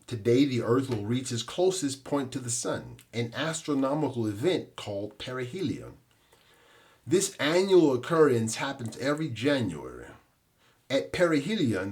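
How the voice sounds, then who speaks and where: A man hosting a podcast, delivering a scripted monologue in a measured, explanatory manner. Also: mid-range voice, a little mellow. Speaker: podcast host and author.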